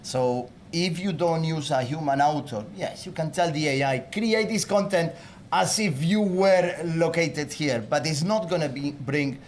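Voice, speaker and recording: A man from Spain with a Spanish accent, speaking on a panel in a casual, animated way. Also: a little high, a little slow, a little hiss or hum. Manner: animated, acts out quoted voices.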